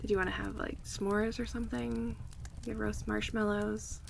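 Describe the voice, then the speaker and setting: A woman playing an affectionate, chatty friend in a casual, intimate ASMR roleplay, speaking softly and in a relaxed way. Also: mid-range voice, moderate pace, creaky. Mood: relaxed, friendly. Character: affectionate young woman, cozy longtime friend hosting a night in. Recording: faint background ambience noise.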